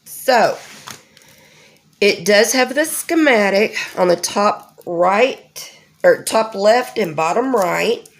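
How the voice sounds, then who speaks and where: A woman's voice: mid-range voice, animated, slow pace. A woman, stuffy and nasal from a head cold, chatting casually as the host of a crafting unboxing vlog. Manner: chatty, homey, with many ums.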